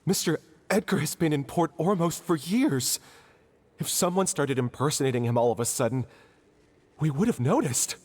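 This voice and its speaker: An actor playing a loyal, anxious man in a video game, husky and hesitant, speaking emotionally. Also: a little high, animated, moderate pace, darkish tone. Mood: disbelieving, distressed. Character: loyal, anxious man refusing to believe bad news. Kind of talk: scripted video game dialogue.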